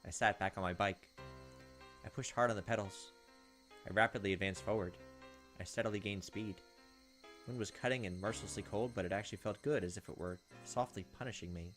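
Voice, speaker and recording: A man's voice: on the low side, fairly even intonation, fairly quick, a bit bright, faint music behind. A man hosting a gaming video, reading story text aloud expressively and dropping in casual asides.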